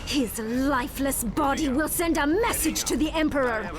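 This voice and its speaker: A woman playing a cold, vengeful, menacing witch in a video game, speaking in a commanding, deliberate way. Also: high-pitched, crowd noise, lightly acted. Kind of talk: scripted video game acting.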